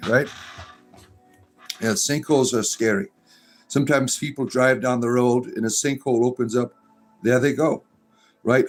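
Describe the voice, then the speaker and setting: A middle-aged man from Florida, hosting a casual livestream and answering viewer comments in a rambling, drawn-out way with many fillers. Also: medium pitch, a little mellow. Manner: rambling, full of fillers and restarts, drawn-out narrator delivery. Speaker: livestream host.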